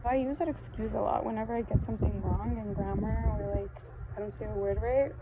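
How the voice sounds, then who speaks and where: A woman's voice: mid-range voice, fairly even intonation, brisk. A young adult woman whose first language is Spanish, chatting casually and rambling with lots of fillers while she does a makeup tutorial outdoors in a backyard. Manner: casual, rambling, self-deprecating, frequent fillers. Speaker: beauty vlogger. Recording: outdoor wind and ambient noise.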